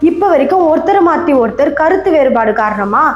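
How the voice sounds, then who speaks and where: A woman host speaks in a high voice at a quick clip. She gives an informal, conversational explainer, and the room adds a noticeable echo. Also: expressive, a little mellow, lo-fi sound, soft background music. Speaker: channel host. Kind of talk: informal explainer commentary on social media feuds.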